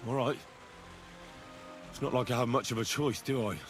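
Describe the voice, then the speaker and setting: An actor playing a young drifter looking for work, pushy and sarcastic. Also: male voice, medium pitch, steady tone, normal speed, soft background music, lightly acted.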